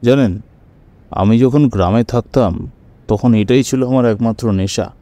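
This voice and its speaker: A man plays a courteous office worker with a proud love of kites in a radio drama. The voice is mid-pitched and mellow, with a conversational, plain-spoken manner. The sound is close and dry. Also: a little slow, no room sound, natural voice. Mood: proud, enthusiastic. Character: courteous office-going man, keen proud kite flyer. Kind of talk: audio story dramatization.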